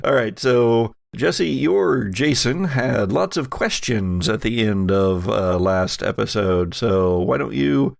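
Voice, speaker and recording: Guy running a tabletop game podcast, lowish gravelly voice, close dry sound. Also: expressive, slow pace, few pauses, dry recording. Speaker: podcast host and game master. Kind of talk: casual chat and tabletop game narration.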